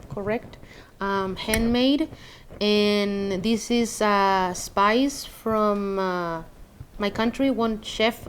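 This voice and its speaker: Chilean woman, spanish accent native speaker, slow pace, medium pitch, casual reflective personal chat, kinda hesitant hunting for english words. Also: slight background noise. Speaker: interviewee telling a personal story.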